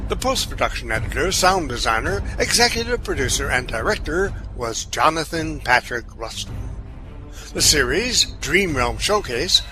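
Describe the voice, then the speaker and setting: A male announcer narrates an audio drama with formal, theatrical delivery. His mid-range voice moves slowly with lively intonation, over clearly audible music. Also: mid-range voice. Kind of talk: scripted narration, dramatic outro and credits.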